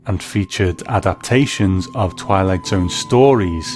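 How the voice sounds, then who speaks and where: A man hosting a show, giving a measured, thoughtful scripted review in a reflective narrating style. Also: low voice, over music. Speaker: host and narrator.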